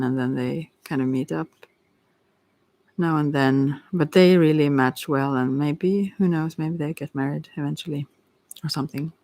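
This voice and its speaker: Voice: medium pitch, darkish tone, no room sound. Rambling, hesitant tarot reader, dry close sound. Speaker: tarot reader on a live stream. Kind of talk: casual improvised tarot reading chat.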